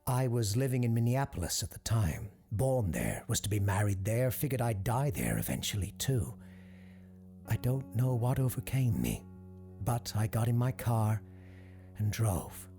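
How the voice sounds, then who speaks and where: A man voicing a regretful woman giving halting, confessional testimony about her past in an audio drama. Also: lowish voice, very animated, dark tone, husky, faint music behind, voice pushed up, exaggerated voice. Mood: wistful. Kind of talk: quoted testimony within audio drama narration.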